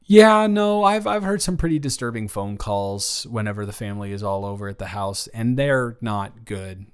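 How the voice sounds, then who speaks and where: A man's voice: lowish voice, lively intonation, clean tone, close to an everyday voice. A young American man playing a chatty, awkward best friend in an improvised audio roleplay, talking casually and often interrupting himself. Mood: awkward, candid. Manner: rambling, halting, self-interrupting. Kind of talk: improvised boyfriend-style audio roleplay, casual one-sided chat.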